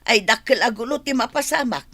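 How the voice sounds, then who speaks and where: Scolding, animated Filipino mother. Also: a little high, fairly quick, no echo, exaggerated voice. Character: scolding, worried middle-aged mother of grown daughter. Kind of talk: radio drama acting.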